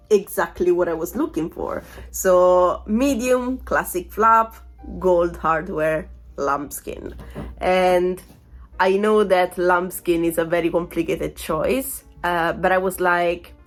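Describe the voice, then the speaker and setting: A woman in her thirties talks casually to her camera in a fairly low voice, still getting over a cold. She rambles along with plenty of fillers and small laughs, and faint music plays under her voice. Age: 30s. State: recovering from a cold, voice sounds off. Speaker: vlogger. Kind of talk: casual unboxing chat.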